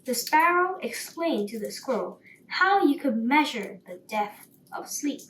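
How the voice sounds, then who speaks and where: A woman with a fairly high voice reads a bedtime story at a very slow pace. She sounds some way from the microphone in a roomy space, with faint noise. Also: big pitch swings, fairly bright tone, far from the mic.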